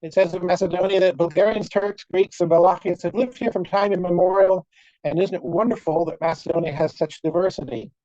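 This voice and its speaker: A scholar presents a lecture to an online symposium, using a mellow, dark-toned voice, wry understatement and lots of "uh" and "um" restarts. The sound is dull and lo-fi, picked up close on a computer mic in a dead, dry room. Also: medium pitch, steady tone, brisk, no room sound. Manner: wry, dry humour with frequent fillers and restarts. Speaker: conference speaker. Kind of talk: academic lecture. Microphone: computer mic on a video call. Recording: dull, lo-fi video call audio.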